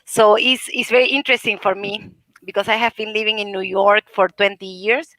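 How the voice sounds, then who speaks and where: Panel guest: woman, Argentine accent, native Spanish, bright forceful voice, dry close sound, conversational. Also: mid-range voice, fairly even intonation, fairly quick, dry recording, low-quality recording. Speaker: panel guest, international civil servant. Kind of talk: conversational self-introduction.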